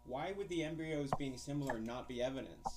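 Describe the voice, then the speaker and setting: A man's voice: mid-range voice, a little fast, heavy background noise. A man in an argumentative phone debate, pressing his questions persistently and challenging the other side.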